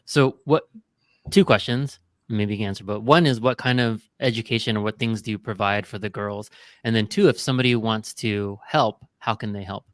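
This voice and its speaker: A man hosting an interview podcast, explaining points to listeners in a relaxed, conversational way. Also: lowish voice, moderate pace, no room sound, studio quality. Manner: explanatory, drawing business lessons. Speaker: podcast host. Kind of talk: casual interview chat.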